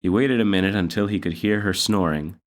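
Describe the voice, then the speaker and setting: Low-voiced man reading a story. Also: fairly quick. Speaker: story reader. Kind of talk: read-aloud children's story narration.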